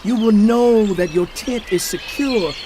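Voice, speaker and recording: A man playing a biblical elder who earnestly counsels a suffering friend in a solemn, measured dramatized scripture reading. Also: high voice, background noise. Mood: uplifting, emphatic.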